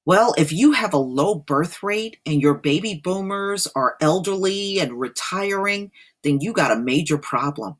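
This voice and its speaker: Husky, slow-talking woman with low voice. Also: expressive. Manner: emphatic, repeats points for stress, addresses listeners directly. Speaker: online commentator and host. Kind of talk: reading an article aloud with off-the-cuff commentary.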